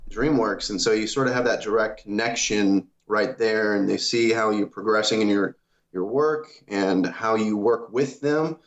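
Brief: low-voiced male interview guest from Iowa, humble, dull lo-fi audio. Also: normal speed. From Iowa, USA. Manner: modest, hesitant, many fillers. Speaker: guest animator. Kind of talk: casual interview.

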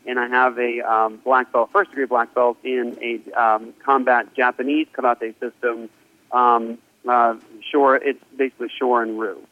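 A middle-aged man speaks earnestly as an interview guest, rambling a little and filling pauses. His voice comes through a tinny, dry telephone line with no room sound. His pitch sits in the middle for a man. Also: level tone, no room sound. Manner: earnest, rambling with many fillers. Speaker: pastor, guest. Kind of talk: casual phone interview. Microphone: phone.

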